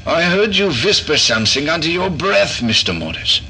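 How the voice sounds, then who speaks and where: A man's voice: a little high, big pitch swings, normal speed, poor audio. A man playing an eccentric, rational doctor in a horror audio drama, speaking formally and emphatically. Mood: startled, stern. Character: eccentric male doctor, certain and rational, keeping a log.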